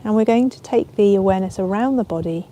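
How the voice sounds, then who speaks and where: Very mellow, soft-toned woman guiding relaxation. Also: medium pitch. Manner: soothing, unhurried, gentle instruction. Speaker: yoga nidra teacher. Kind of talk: guided relaxation meditation.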